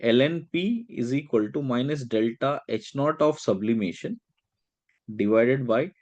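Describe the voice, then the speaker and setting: A man speaks as a teacher walking through a worked problem in a lecture. His voice sits at an average pitch, and he explains each step, pausing to check in with brief questions. The sound is dry, with little room echo. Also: darkish tone, muffled recording. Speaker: chemistry teacher.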